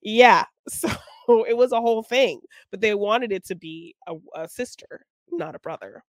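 A woman's voice: medium pitch, normal speed, dry recording. A woman co-hosting a podcast, discussing film casually and reflectively, often thinking aloud as she goes. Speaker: podcast co-host.

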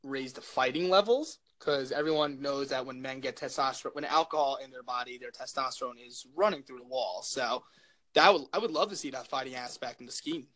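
A young man with a loud, projecting voice, rambling jokily through a casual chat as co-host. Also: medium pitch, a little fast, low-quality recording. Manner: rambling, filler-heavy, jokey.

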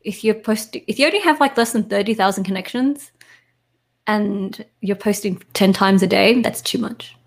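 A woman's voice: mid-range voice, normal speed. A woman giving casual, candid answers as an interview guest, correcting herself as she goes. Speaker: interview guest, online content creator.

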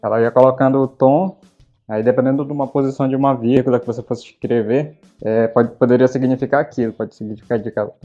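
A man's voice: mid-range voice, dark tone, muffled recording. A man from Belém with a Belém accent, hosting a casual podcast chat and telling stories with lots of fillers. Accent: Belém Paraense Portuguese. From Belém, Brazil. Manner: chatty storyteller, many fillers. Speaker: podcast host.

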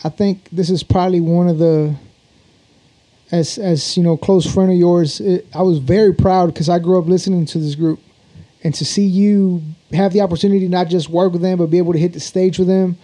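High-voiced, mellow Texan male host. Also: fairly even intonation, a little fast. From Houston, Texas. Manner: informal, slangy. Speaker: interview show host. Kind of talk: casual interview chat.

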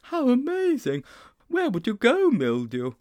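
A man voicing an excitable, wide-eyed schoolboy in a high, breathless voice. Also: moderate pace, full character voice. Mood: excited. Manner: high squeaky breathless delivery.

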